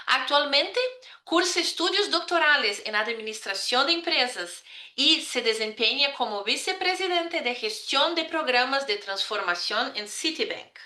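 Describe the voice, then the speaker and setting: A woman hosting a podcast, reading a scripted welcome and then interviewing a guest in an upbeat, measured way. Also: high voice, expressive, sharp tone. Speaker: podcast host. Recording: echoey room.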